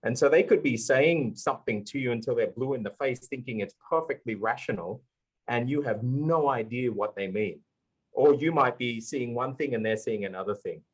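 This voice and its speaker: A man's voice: mid-range voice, moderate pace, breathy edge, poor audio. A man speaking on a live conference panel, earnestly explaining his ideas with many fillers. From Australia. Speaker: panelist, language YouTuber.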